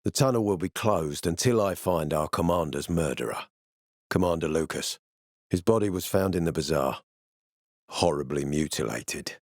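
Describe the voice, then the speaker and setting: A male actor playing a stern, ambitious military commander in a video game, speaking in a measured, authoritative and formal way. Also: a bass voice, animated, no room sound, clean studio sound. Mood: grave. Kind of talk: video game dialogue acting.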